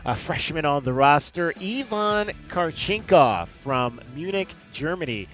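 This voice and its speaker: A man's voice: fairly high pitch, lively intonation, unhurried, fairly bright tone, soft background music. A man hosting a live sports radio interview, asking friendly, conversational questions at an arena media day.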